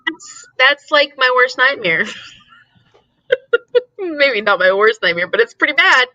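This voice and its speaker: A woman's voice: high-pitched, sing-song, slow pace, bright, forceful tone, dry recording, muffled recording. A giggly woman co-hosting a casual livestream chat, repeating words for emphasis. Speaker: co-host of a live reading sprint stream.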